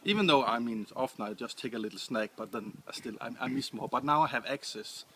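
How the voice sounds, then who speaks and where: A man from Denmark with a Danish accent, answering interview questions in English with many pauses and restarts. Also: medium pitch, a bit bright, a little hiss or hum. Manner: hesitant, many restarts and fillers. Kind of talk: casual interview.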